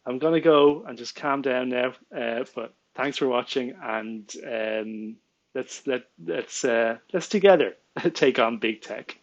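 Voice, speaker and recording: A man's voice: medium pitch, takes it slowly, muffled recording. Exasperated Irish man ranting to camera. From Ireland. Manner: exasperated, restarts often, laughs in disbelief, gestures with hands. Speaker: vlogger and small business owner. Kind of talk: indignant direct-to-camera rant. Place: small room indoors at home.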